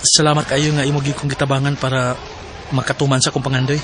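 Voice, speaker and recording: Actor doing an earnest young guy, mid voice, soft noise in the background. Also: medium pitch, flat delivery, quick pace, natural voice. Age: young adult. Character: earnest young man, hopeful. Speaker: boyfriend Rob.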